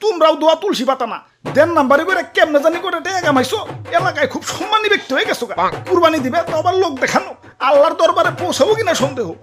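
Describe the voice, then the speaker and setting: A talking cartoon bull rants in a high, rapid voice with a Bangladeshi accent. It is indignant, mouthy and scolding, a street-tough animal that has had enough. Faint music sits behind it. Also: male voice, animated. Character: fed-up, mouthy talking bull threatening its tormentors. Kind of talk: cartoon comedy dialogue in colloquial dialect.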